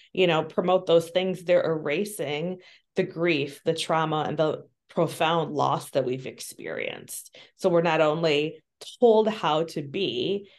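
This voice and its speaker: A woman's voice: sits low, a little mellow. A woman from Colombia, a social worker, talking frankly as an interview guest, earnest, with frequent ums and restarts. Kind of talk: candid interview talk.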